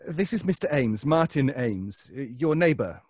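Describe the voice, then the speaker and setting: An actor playing a nervous, obsessive neighbor in an old-time radio suspense drama, stammering and pleading. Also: male voice, fairly high pitch, sing-song, normal speed, a little mellow, no room sound, studio quality. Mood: desperate pleading. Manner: stammering, hesitant, breaking off.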